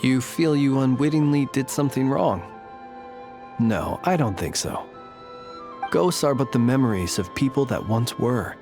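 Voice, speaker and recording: A man voicing a calm, courtly lightkeeper in a video game, speaking in formal, measured, old-fashioned phrases. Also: medium pitch, over music. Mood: reassuring.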